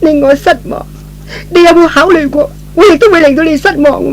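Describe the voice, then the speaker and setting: A man's voice: very high-pitched, fairly even intonation, a little fast, slight background noise, voice pushed up, low-quality recording. A man voicing a proud young woman in love, with a haughty, cutting manner, in old-fashioned radio drama style. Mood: hurt.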